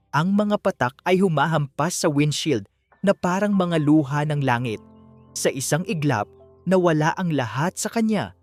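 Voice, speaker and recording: A storyteller narrates a scripted audiobook drama in a middling pitch. The delivery is dramatic. Quiet music plays beneath the voice.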